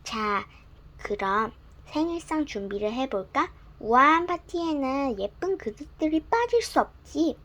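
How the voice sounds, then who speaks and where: A bright little girl of ten, as a woman storyteller puts her on, eager and pleased with herself. Her voice sits high and swoops up and down as she chatters. It comes from a gentle bedtime storybook reading. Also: sing-song, measured pace, exaggerated voice. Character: proud cheerful ten-year-old girl.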